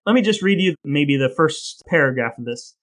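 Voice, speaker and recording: A man's voice: on the high side, animated, normal speed, fairly bright tone. A man co-hosting a podcast, chatting casually about trivia and research in a wry, playful, rambling way. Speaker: podcast co-host.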